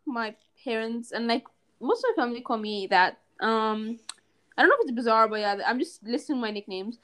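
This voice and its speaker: A woman's voice: a little high, normal speed, a bit bright. A young woman co-hosting a podcast, chatting casually and hesitantly with many fillers. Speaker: podcast co-host. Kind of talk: casual chat.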